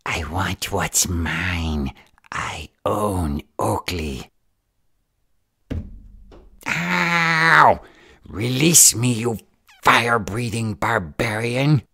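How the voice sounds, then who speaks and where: An actor voicing a greedy, whining gremlin villain in an audio roleplay, with a raspy, strained voice and indignant manner. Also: expressive, drawn-out words, pauses often, bright, forceful tone, no room sound, studio quality, heavily acted. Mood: possessive, demanding. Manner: whining, indignant, shrill outbursts. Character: whiny greedy gremlin villain claiming ownership of someone. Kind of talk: audio roleplay drama acting.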